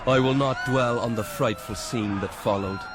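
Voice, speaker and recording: A man's voice: mid-range voice, steady tone, normal speed, a crowd in the background, lightly acted. An actor playing a plain-spoken, shaken army corporal, telling his war story earnestly and emphatically in a radio drama. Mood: grim, regretful. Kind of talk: dramatic radio monologue, memoir testimony. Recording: noisy radio drama recording.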